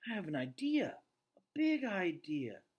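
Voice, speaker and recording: A man's voice: high-pitched, sing-song, unhurried, a little mellow, slightly rough, voice pushed up, exaggerated voice. A man voicing an eager, stubborn, pleading young girl for a storybook read aloud. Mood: excited.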